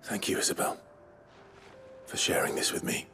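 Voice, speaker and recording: An actor playing a chivalrous, earnest warrior in a video game cutscene, speaking in a courteous, understated, sincere way. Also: male voice, normal speed, fairly bright tone, faint music behind, natural voice. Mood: sincere, solemn. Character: chivalrous, earnest fantasy warrior man, quietly attentive.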